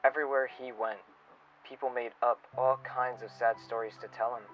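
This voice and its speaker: A man playing a reflective, wistful staff member recording an audio log, speaking in a halting, pensive and sincere way. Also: medium pitch, level tone, a bit bright, close to an everyday voice. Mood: gentle, solemn storytelling. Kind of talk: audio log monologue with storytelling. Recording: dull lo-fi recording with music. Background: music under the voice.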